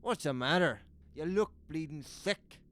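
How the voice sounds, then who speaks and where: An actor playing a small, hurried townsman in an audio drama, brusque and offhand. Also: fairly high pitch, lively intonation, fairly bright tone.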